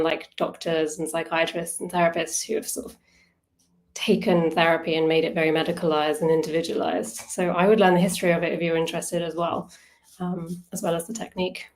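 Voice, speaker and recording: A woman's voice: on the low side, flat delivery, fairly quick. A woman facilitating an informal online wellbeing workshop, talking conversationally, thinking aloud and putting questions to her listeners. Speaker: workshop facilitator on self-soothing.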